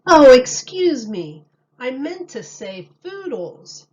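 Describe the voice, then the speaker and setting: A woman voicing a clever, thoughtful little boy in a storybook, explaining things in a drawn-out, emphatic way. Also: high voice, very animated, a little mellow, muffled recording, pitched above the performer's own voice, heavily acted. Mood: thoughtful, helpful.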